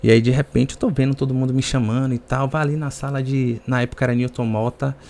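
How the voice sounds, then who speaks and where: A man's voice: medium pitch, steady tone, fast talker, creaky, darkish tone. A man from the Salvador area with a Bahian Brazilian accent, speaking as a podcast guest in conversational personal storytelling.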